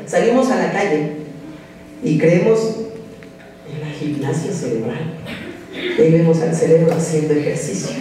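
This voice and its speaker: A man's voice: a little high, mellow, slight background noise. A man in his thirties with a Mexican accent, a psychology lecturer giving a direct, exhorting conference talk to students at a podium microphone. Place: large lecture hall. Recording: roomy echoing hall from a distance.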